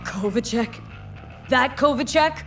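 A woman's voice: sits high, expressive, slow, gravelly, faint music behind, exaggerated voice. An actress playing a wry, tough young female mercenary in a video game, with a dry, blunt, skeptical manner. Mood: incredulous, game.

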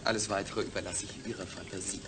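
A man's voice: sits low, fairly even intonation, fast talker, background noise. A man playing a proud, demanding astronaut patient in a science-fiction radio drama, speaking in an emphatic, articulate way. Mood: defiant, indignant.

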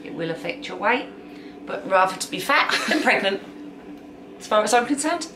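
A woman's voice: medium pitch, lively intonation, moderate pace, echoey room, background noise. A middle-aged woman with a London accent, chatting bluntly and dryly as a guest on her daughter's vlog in a small bedroom. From London, England. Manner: blunt, dry and joking. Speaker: YouTuber's mother, guest. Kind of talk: casual chat.

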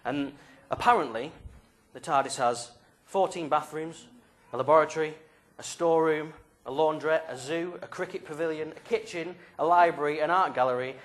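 A young man leading a youth group in a small church hall, speaking into a handheld mic in a playful, joking, game-show manner. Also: a little high. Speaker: youth leader. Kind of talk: lively talk to a youth group. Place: small church hall with stage and drum kit.

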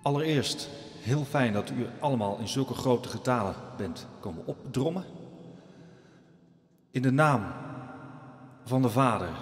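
An actor playing an earnest village pastor in a radio drama, preaching solemnly and haltingly to his congregation. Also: mid-range voice. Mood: solemn welcome. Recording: echoey church hall reverb.

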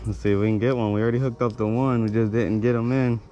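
Young guy fishing by a lake, casual and excitable, talking into a body cam, faint music. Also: medium pitch, fast talker. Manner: excitable, swears mildly, narrates while fishing. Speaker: fishing vlogger. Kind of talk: casual vlog commentary. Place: rocky lakeshore outdoors.

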